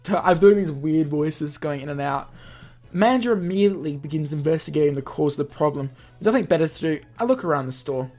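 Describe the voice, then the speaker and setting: A man's voice: on the high side, expressive, fairly quick, a little mellow, faint music behind. A man reading a visual novel aloud as a let's player, stumbling over lines and adding casual explanatory asides. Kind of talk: game narration with casual asides.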